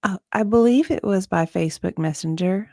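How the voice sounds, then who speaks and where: A woman guest on a livestream, reading a deposition part and chatting in an animated, conversational way. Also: mid-range voice, normal speed, creaky, no echo, studio quality. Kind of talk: dramatic deposition reading and casual chat.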